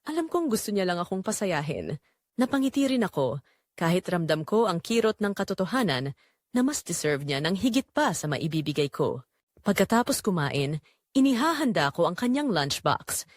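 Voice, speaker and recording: A woman playing a poor, devoted mother burdened by guilt, narrating an audio drama in an earnest, measured, confessional way. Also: lowish voice, sing-song, no room sound, clean studio sound. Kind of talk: scripted dramatic first-person narration.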